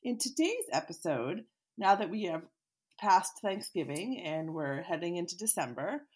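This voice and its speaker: A woman's voice: mid-range voice, husky. Scratchy-voiced woman podcaster with a cold. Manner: chatty, animated, laughs easily, occasional fillers. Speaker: podcast host and therapist. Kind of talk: solo podcast talk, teaching.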